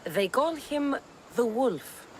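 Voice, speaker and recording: Cool, tough young mercenary. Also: female voice, fairly high pitch, lively intonation, slow, slight background noise. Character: confident, tough young female Greek mercenary. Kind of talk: video game character acting.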